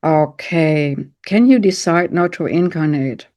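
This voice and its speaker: A middle-aged woman from Germany with a heavy German accent, gently guiding an online audience through a spiritual exercise and answering questions in short, repeated phrases. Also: low voice, unhurried. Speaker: psychic medium and coach, guest speaker.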